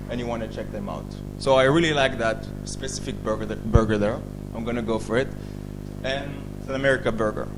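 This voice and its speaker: A man from Belgium pitches an app, with pauses and self-corrections as he goes. His pitch is middling. A steady hum and clear noise sit under his voice. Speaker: bootcamp student presenter. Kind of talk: demo day product pitch. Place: event stage, live presentation.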